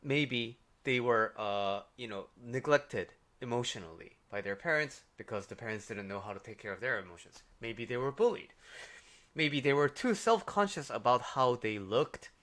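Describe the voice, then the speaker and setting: A man's voice: medium pitch, expressive, moderate pace, a bit bright. A man with a Korean accent, a life coach giving casual, conversational advice on a livestream, with many fillers and "right?" tags.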